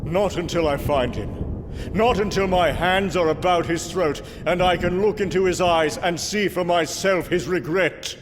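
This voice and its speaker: Casting: man as grim wrathful Norse warrior god, grandiose and deliberate, fairly high male voice, audio drama, noisy recording. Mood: grim, resolved. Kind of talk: comic book audio drama acting.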